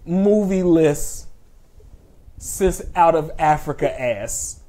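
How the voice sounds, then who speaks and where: A man's voice: a little high, expressive, slow, dark tone. A man co-hosting a film talk show, chatting casually with strong opinions and repeating phrases for emphasis. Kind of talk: opinionated casual chat about movies.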